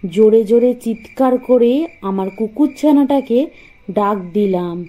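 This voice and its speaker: A young woman narrating a first-person horror story in a slow, tense, fearful way. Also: fairly high pitch, dull audio.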